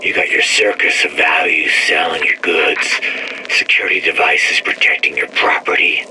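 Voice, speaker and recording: A man's voice: rumbling low, normal speed. An actor playing a bitter, vengeful man who sneers threats at a rival in a game audio log. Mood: menacing. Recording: audio log with steady background noise.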